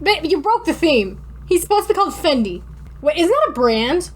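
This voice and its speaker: A woman's voice: sits high, big pitch swings, normal speed, slight background noise. A woman co-hosting a podcast in casual, comedic banter. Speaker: podcast co-host.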